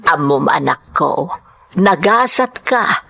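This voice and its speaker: A woman playing a warm, chatty mother who teases and praises her grown son in an Ilocano audio drama. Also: deep voice, lively intonation, slow, creaky, no room sound, full character voice. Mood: teasing, proud. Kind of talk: Ilocano radio-style drama acting.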